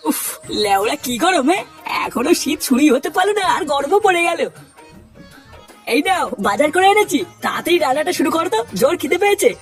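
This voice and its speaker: Casting: high-voiced hapless old husband played by an actor, plaintive and colloquial, for a comedy cartoon. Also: big pitch swings, brisk, soft background music. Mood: grumbling. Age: older. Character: hapless henpecked old Bengali husband, timid grumbler.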